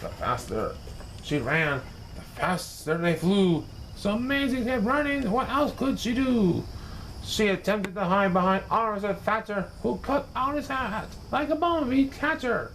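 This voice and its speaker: High, animated man reading a storybook. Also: unhurried, a little hiss or hum, poor audio. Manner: expressive, dramatic. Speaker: storybook reader. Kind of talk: theatrical rhyming children's story narration.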